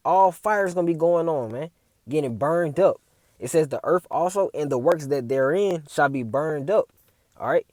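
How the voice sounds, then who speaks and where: A man with a slightly raised but dark, mellow voice talks through scripture like a street preacher. He keeps checking in with "all right?" and "man" between points. The sound is dry and close. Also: on the high side, expressive, normal speed, no room sound. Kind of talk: casual religious commentary video.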